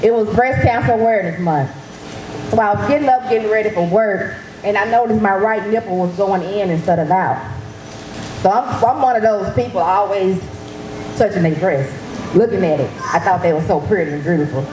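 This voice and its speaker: A woman gives a loud, lively personal testimony to an audience at an event. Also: medium pitch, brisk. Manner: loud, lively storytelling in casual Southern speech. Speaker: breast cancer survivor speaking at an event. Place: event hall. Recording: echoey hall with noise.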